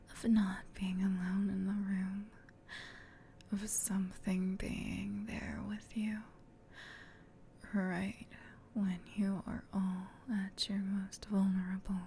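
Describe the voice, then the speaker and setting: A woman performs a female sleep paralysis demon in an ASMR roleplay. She whispers closely in a breathy, intimate way, slow and with little swing in pitch. A low noisy rumble sits behind the voice. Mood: menacing, teasing. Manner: slow, teasing, hushed, intimate. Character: female sleep paralysis demon, menacing tease turned flustered gentle comforter.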